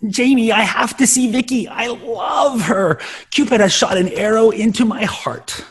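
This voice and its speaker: A performer playing a clingy, lovesick, nerdy young boy with a husky voice and melodramatic delivery in a sitcom table read. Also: mid-range voice, a little slow. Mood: pleading, dramatic. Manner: melodramatic, swooping between pleading and wailing.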